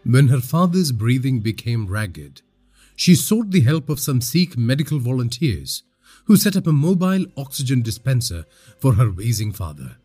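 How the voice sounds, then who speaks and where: Husky, dramatic male horror storyteller. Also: mid-range voice, big pitch swings, normal speed, dark tone, soft background music. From India. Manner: dramatic, suspenseful delivery. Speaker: horror podcast narrator.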